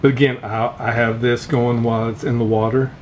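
A man giving a casual, unscripted hands-on gear walkthrough as a vlogger, pausing often mid-phrase. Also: mid-range voice. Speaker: vlogger showing fishing gear. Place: indoor garage workshop. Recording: steady background noise, room ambience.

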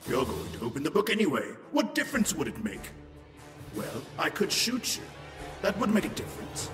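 A man's voice: a little high, huge pitch swings, normal speed. A man playing a menacing murderous villain. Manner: menacing, whispering then shouting. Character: vengeful murderous male escaped prisoner. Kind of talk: amateur audio drama. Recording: echoey reverberant room with music.

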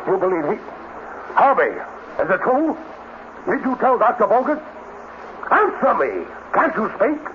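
Casting: blustering scientist boss, highish, very animated voice, old radio style. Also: male voice, measured pace, long pauses. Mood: angry. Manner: pompous, demanding. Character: blustering, irritable male robotics director, self-important. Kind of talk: 1950s radio acting. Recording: tinny, noisy 1950s radio recording.

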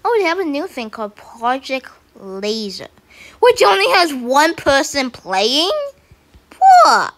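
A woman chatting excitedly through casual gameplay commentary, with sudden squeals. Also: high-pitched, takes it slowly. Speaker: gamer. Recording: game music behind. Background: game music.

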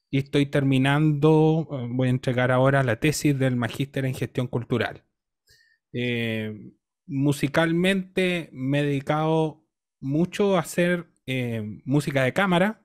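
A Chilean man in his thirties talks as an interview guest over a video call. His voice sits at a medium pitch and his delivery is conversational. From Temuco, Chile. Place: indoor room on a video call.

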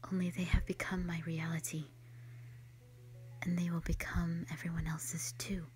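A woman voicing a gentle, protective elder dragon in a slow, solemn, whispered audio roleplay monologue, full of sorrow. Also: lowish voice, flat delivery, close to an everyday voice. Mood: sorrowful, brooding. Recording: music behind the voice.

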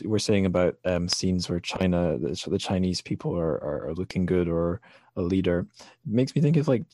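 Male podcast host, low voice, close dry recording, thoughtful conversational delivery. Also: a little mellow, no echo. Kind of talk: casual discussion of books and film.

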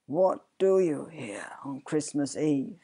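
Woman acting a low, stern, grinning goblin. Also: animated, dark tone, slightly rough, heavily acted. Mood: stern menace. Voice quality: deep. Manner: stern, slow, deliberate questioning. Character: stern grinning unearthly male goblin, taunting and menacing. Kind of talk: dramatic storybook reading.

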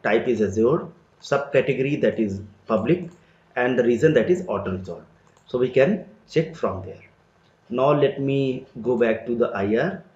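A man teaching a screen-recorded software tutorial, explaining each step as he clicks through an interface. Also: mid-range voice. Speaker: tutorial instructor. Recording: roomy, dull lo-fi sound.

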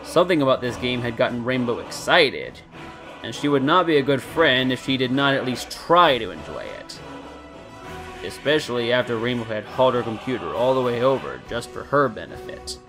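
A man's voice: medium pitch, animated, normal speed. A man reading scripted story narration as the narrator. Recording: guitar music behind the voice. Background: soft guitar music.

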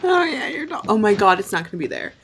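Expressive young woman reacting, swooping pitch. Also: fast, a little hiss or hum. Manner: exasperated, expressive, sweary. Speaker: reaction video host. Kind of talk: casual reaction commentary while watching a TV show. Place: small bright bedroom, indoors.

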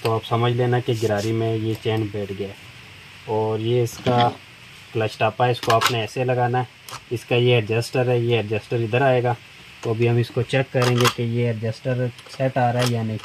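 A middle-aged man, calmly explaining step by step how to fit a chainsaw blade at a tool shop counter. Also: mid-range voice. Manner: plain, unhurried explaining while working with his hands. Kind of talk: step-by-step product demonstration. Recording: noisy shop recording.

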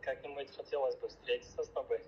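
A man's voice: medium pitch, steady tone, fast talker, crowd noise. A man chatting casually and flirtatiously in a tentative way, heard over a phone on speaker. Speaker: admirer on a phone call. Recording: noisy phone call on speaker.

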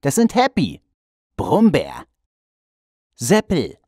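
A woman's voice: sits low, huge pitch swings, takes it slowly, mellow, husky, clean studio sound, voice pushed down, heavily acted. A woman voicing a kindly, bossy male dwarf chief with a drawling, hesitant delivery in a children's fairy tale. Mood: friendly, explaining. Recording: long reverb, music behind.

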